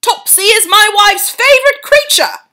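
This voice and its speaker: A woman voicing a blustering middle-aged English father who shouts and stammers in fury. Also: very high-pitched, animated, slow, voice pushed up, exaggerated voice. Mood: panicked. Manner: loud, spluttering, stammering.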